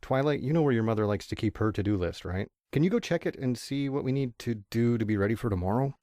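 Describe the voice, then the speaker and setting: A man playing a weary, reassuring pony father in an amateur audio drama, calm and confiding. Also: mid-range voice, quick pace, dry recording, clean studio sound, natural voice.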